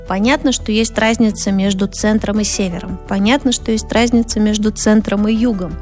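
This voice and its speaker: A woman from Moscow with a Moscow Russian accent, giving a conversational radio lecture as a linguist, lively and acting out the sounds she explains. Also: medium pitch, moderate pace, soft background music. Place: radio studio.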